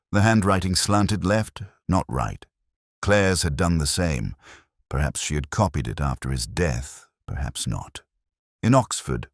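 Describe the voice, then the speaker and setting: A man reading a scripted first-person mystery story in a reflective, solemn, deliberate, melancholy manner. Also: very deep voice, no room sound, clean studio sound. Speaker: narrator of a detective mystery story.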